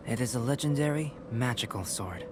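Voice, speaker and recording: An actor voicing a calm, scholarly young male alchemist in a video game, speaking in a measured, formal, analytical way. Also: mid-range voice, noisy background, lightly acted. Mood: explaining calmly. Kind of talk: video game dialogue acting.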